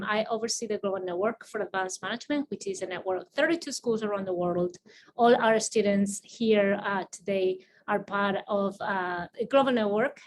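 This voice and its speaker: A woman with a Spanish accent moderates an online panel over a video call. She talks quickly, with frequent fillers and restarts. A faint noise sits behind her voice. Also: mid-range voice. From Spain. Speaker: assistant dean moderating a panel.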